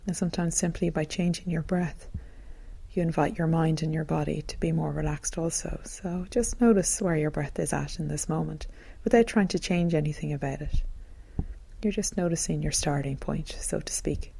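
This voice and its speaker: Low, creaky, soft-voiced woman guiding meditation. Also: a little hiss or hum. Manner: gentle, calm, soothing, unhurried phrasing. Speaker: meditation teacher. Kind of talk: guided meditation narration.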